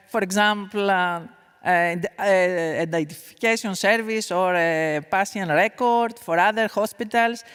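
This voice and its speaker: A woman answers from a conference panel at a slow, careful pace, pausing often with fillers as she searches for words. Her voice is clear and smooth, carried by the long reverb of a big hall. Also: a little high, a bit bright. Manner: hesitant, halting non-native English with many fillers. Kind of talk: answering audience question.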